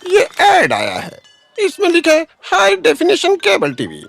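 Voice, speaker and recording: A man voicing a simple, polite, earnest farmhand in an animated cartoon. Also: very high voice, measured pace, vocal fry, soft background music, exaggerated voice. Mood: eager.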